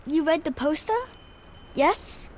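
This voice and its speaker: A poor young lad in a game cutscene. The voice is high and very slow, guarded and worried, halting over simple phrases. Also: female voice, fairly even intonation, slight background noise. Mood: worried, hesitant. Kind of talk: video game cutscene acting.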